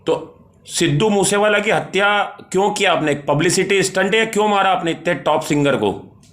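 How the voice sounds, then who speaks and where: A man working as a news interviewer, putting halting, insistent questions over a phone call. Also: sits high, a bit bright.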